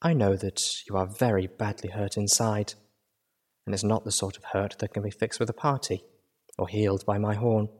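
A man voicing a gentle adult mare healer who speaks slowly, calmly and sadly. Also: on the low side, close to an everyday voice. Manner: slow, calm and gentle.

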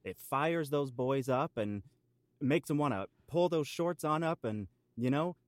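Man, mid pitch, dry close sound, whimsical, florid, mock-earnest, comedy podcast banter. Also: lively intonation, a little slow, dry recording. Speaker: podcast co-host.

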